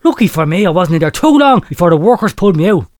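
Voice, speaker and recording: A man's voice: high-pitched, fast talker, dry recording, clean studio sound. A man hosting a comedy podcast, reading out a listener letter with mock drama and frequent laughter. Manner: laughs often while reading, lively mock storytelling. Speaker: podcast host.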